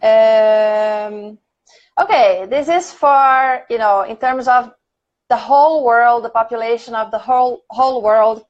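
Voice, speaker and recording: A Brazilian woman whose first language is Portuguese leads a relaxed English conversation lesson, chatting and laughing as she thinks aloud. Her voice sits on the high side. The sound is dull and lo-fi, like a stream over the internet. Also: slow pace. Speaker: English teacher. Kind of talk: casual conversation class on a livestream.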